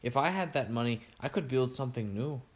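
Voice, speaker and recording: A man's voice: mid-range voice, expressive, fairly quick, voice pushed up, lightly acted. A man reading aloud, voicing an eager young girl inventor who asks curious questions.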